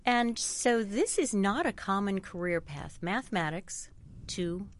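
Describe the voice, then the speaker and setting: A woman's voice: medium pitch, animated, a little slow. A woman hosting an arts interview, asking questions and encouraging her guest with affirming murmurs.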